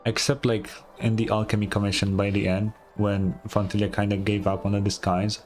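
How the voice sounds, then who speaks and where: A man's voice: fairly low pitch, little pitch movement, brisk, darkish tone. A man streaming a game, giving casual, offhand reaction commentary with blunt swearing over game music.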